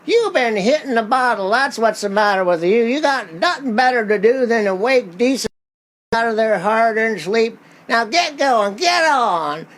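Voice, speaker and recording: A man's voice: extremely high pitch, lively intonation, moderate pace, fairly bright tone, pitched above the performer's own voice. A man playing a curt, scolding, folksy lunchroom owner in a radio play. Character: gruff, irritable rural male roadside lunchroom owner, married.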